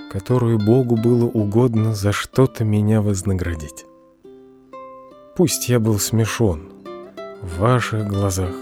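An actor playing a humble, lovelorn clerk in an audio drama, delivering a monologue in an earnest, subdued, measured way. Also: male voice, on the low side, lightly acted. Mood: grateful, resigned. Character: lovelorn, humble male clerk reciting his farewell letter. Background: plucked guitar music.

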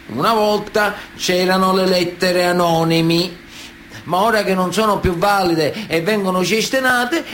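An actor playing a wily, indignant, semi-literate Sicilian mafioso with a Sicilian accent, pleading emphatically to a judge in a theatrical radio monologue with drawn-out pauses. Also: male voice, high voice, fairly bright tone, slight background noise. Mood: scornful, aggrieved. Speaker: stage actor.

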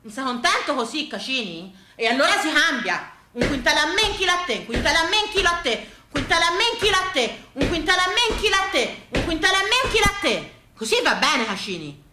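A woman's voice: high voice, big pitch swings, fast, few pauses, roomy sound, very noisy. A woman with a Tuscan accent performing a theatrical storytelling monologue, rhythmic and repetitive, in colloquial village phrasing. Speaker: stage actress and storyteller.

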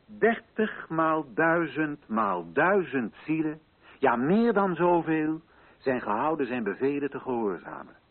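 A solemn colonial official in a radio drama, declaiming to assembled chiefs. His phrasing is rhetorical and deliberate, with wide swings in pitch. The sound is husky and narrow, like an old muffled broadcast. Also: heavily acted. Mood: commanding, solemn. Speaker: actor in a radio play. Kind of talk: declaimed theatrical oratory in a radio play.

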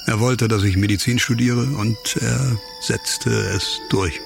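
A man acting a grave, bitter, disgraced former doctor in a radio crime drama, speaking reflectively in sparse, halting phrases. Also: lowish voice, fairly even intonation, vocal fry, over music, heavily acted. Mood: somber, reflective.

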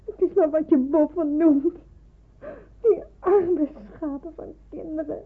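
An actress playing a gentle young woman who helps with small children in wartime, soft-spoken and reflective, in a radio play. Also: high voice, unhurried, no room sound. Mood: tearful, shocked. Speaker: narrator and lead character of a radio drama. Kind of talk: first-person radio drama narration and dialogue. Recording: muffled, phone-like old radio recording.

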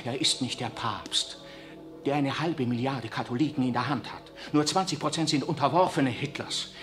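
An actor playing an idealistic young priest, speaking with impassioned, declamatory fervor in a 1960s radio drama. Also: male voice, mid-range voice, normal speed, fairly bright tone, faint music behind, lightly acted.

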